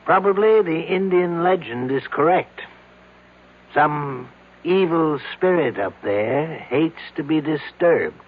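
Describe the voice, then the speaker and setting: A grave doctor in a radio play, baffled and ominous. He speaks slowly at a middling pitch, on a muffled old recording with faint noise. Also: expressive. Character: grave, baffled doctor warning of a haunted mountain. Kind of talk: 1970s radio drama acting.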